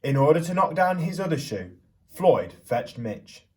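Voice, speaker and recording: Animated man reading a children's storybook, slightly distant. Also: fairly high pitch, measured pace. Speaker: primary school teacher reading aloud. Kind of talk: expressive children's storybook reading with questions to listeners.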